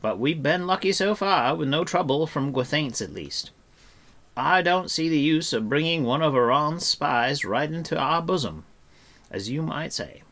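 A man's voice: fairly high pitch, lively intonation, unhurried, a bit bright, pitched above the performer's own voice, exaggerated voice. A man reading a storybook as a wordy, kind-hearted bard, cautious and mildly fussy. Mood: doubtful, mild.

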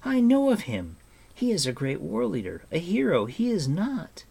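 A man voicing an earnest, frightened, excitable boy in a storybook, blurting and protesting. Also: fairly high pitch, measured pace, husky, pitched above the performer's own voice, heavily acted. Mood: disbelieving.

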